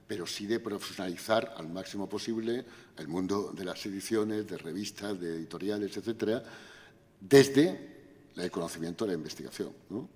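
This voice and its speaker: An older man gives a measured, lecturing answer at an academic conference. His voice sits on the low side and he keeps checking in with short tag questions. The sound carries the long reverb of a university auditorium. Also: darkish tone. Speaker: invited speaker at academic conference.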